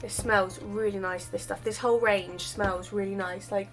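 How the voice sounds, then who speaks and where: A woman's voice: medium pitch, steady tone, moderate pace, soft background music. A woman chatting casually while she demonstrates styling her wavy hair, her voice just recovered from illness. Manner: chatty, self-deprecating, explains while doing. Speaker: hair vlogger. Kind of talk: casual hair tutorial chat.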